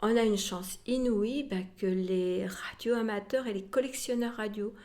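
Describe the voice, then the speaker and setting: Female interviewee, museum representative, conversational and halting, with repeated words and trailing asides. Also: mid-range voice, a little mellow.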